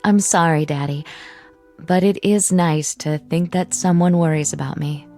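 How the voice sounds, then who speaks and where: An actress playing a dutiful, independent grown daughter in a TV drama, speaking softly and politely. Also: female voice, low voice, fairly even intonation, moderate pace, faint music behind. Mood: apologetic, polite. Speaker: actor in a television drama. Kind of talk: scripted TV drama acting.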